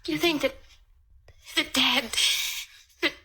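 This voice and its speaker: An actress playing an anxious, fretful young daughter in a 1940s radio drama, speaking hesitantly. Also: female voice, high voice, steady tone, slow, pushed and bright, full character voice. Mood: distraught. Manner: hesitant, fretful, breaking off phrases.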